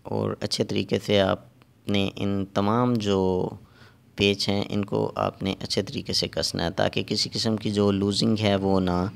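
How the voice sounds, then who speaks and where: A man narrating a hands-on repair tutorial step by step, halting and repeating himself as he works. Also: fairly low pitch, vocal fry. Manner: halting and repetitive, pausing while working. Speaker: repair technician giving a tutorial.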